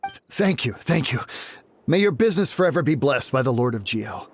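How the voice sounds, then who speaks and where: An actor playing an officious gatekeeper guard in a video game, formal and easily flustered. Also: male voice, medium pitch, big pitch swings, brisk, a little hiss or hum, lightly acted. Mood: panicked, pleading. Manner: formal, stammering when pressed. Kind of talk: dramatic video game acting. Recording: loud background game music.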